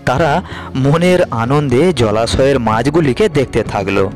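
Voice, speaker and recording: A man's voice: mid-range voice, animated, moderate pace, faint music behind. A man narrating a scripted children's fairy tale as a storyteller.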